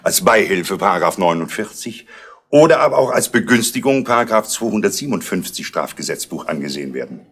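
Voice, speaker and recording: An actor playing a formal, pedantic police inspector who talks in a dry, official way in a 1970s radio crime play. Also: male voice, deep voice, sing-song, brisk, a bit bright, breathy edge, exaggerated voice.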